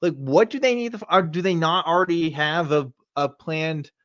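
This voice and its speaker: A man's voice: a little high, moderate pace, fairly bright tone, no echo, dull audio. A man co-hosting a reaction show with casual, slangy, sweary commentary.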